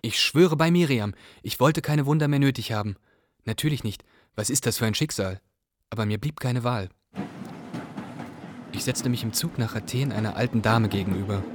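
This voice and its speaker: A man's voice: medium pitch, moderate pace, noisy background, lightly acted. An actor playing a young, weary Iraqi refugee telling his story earnestly in a radio drama. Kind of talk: scripted radio drama narration.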